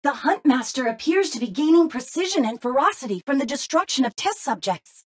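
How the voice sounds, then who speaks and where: High-voiced female facility announcement computer. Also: expressive, a little fast. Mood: alerting. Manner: polite, formal, unfazed. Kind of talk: scripted public-address announcements.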